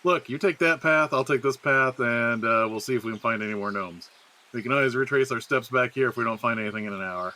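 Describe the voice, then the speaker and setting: Man, medium pitch, bright sharp tone, comedic audio drama acting. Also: brisk. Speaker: podcast regular playing himself in a comedy skit.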